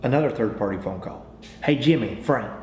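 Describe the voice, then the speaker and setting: A man's voice: medium pitch, expressive, brisk, darkish tone. An American man giving an informal sales training talk, with a folksy, persuasive salesman's manner, repeating phrases and restarting as he goes. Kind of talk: informal training talk with acted example phone calls. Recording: roomy, echoing sound with faint noise.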